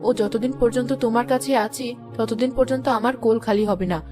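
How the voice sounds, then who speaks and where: A woman plays a gentle young queen in a cartoon fairy tale, soothing and resolute. The tone is measured, with music behind it. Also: fairly high pitch, fairly even intonation, pitched below the performer's own voice, close to an everyday voice. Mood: tender resolve.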